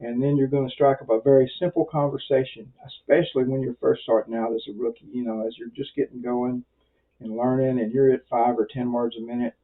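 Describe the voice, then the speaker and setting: Casting: male hobby instructor, medium-pitched, folksy rambling delivery with halting pauses, heard through muffled lo-fi audio. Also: flat delivery. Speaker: amateur radio instructor. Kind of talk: informal tutorial for beginners.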